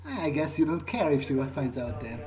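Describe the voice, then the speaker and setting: A man thinking aloud in character during a game playthrough, musing and halting as if talking to himself. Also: on the high side, expressive, darkish tone. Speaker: gameplay video player.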